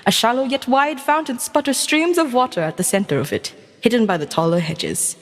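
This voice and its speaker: A woman reading scripted second-person fantasy narration in a measured storybook manner. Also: on the high side, animated, long reverb tail. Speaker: VTuber storyteller.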